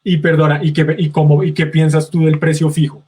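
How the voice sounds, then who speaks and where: A man's voice: fairly high pitch, little pitch movement, brisk, crowd noise. A man moderating an online conference, asking questions and giving formal thanks, sometimes stumbling over his words.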